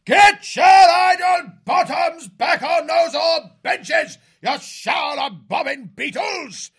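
A man voicing a bossy military hare sea-captain in a storybook, with a raspy British bark and pompous bluster. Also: high voice, big pitch swings, moderate pace, no echo, clean studio sound, pitched above the performer's own voice, heavily acted. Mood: roaring, scolding. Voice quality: raspy, gravelly shout.

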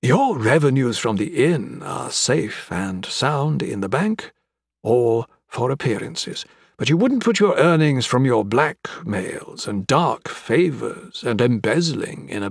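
A man voicing an older gentleman villain in an audiobook, with florid, mock-courteous, taunting speech. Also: on the high side, creaky, slightly rough, no echo, studio quality, pitched above the performer's own voice, exaggerated voice. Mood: mocking menace. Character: menacing, theatrical old gentleman crime boss, mocking and sentimental. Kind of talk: dramatic audiobook character acting.

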